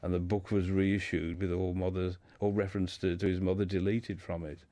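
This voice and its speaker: A man with a deep voice talks as a guest in a radio discussion. He is hesitant, often doubling back on words as he explains. The sound is very dry, with little room around him. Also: little pitch movement, warm tone, no echo. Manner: hesitant, halting, repeats words. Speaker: guest discussing a writer's life and books.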